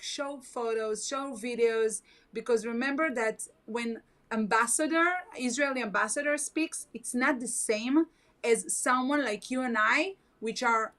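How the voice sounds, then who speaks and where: A woman who grew up speaking Hebrew talks earnestly over a video call. Her voice sits a little high, and her phrasing is halting and non-native. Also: fairly bright tone. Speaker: guest. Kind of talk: casual video chat.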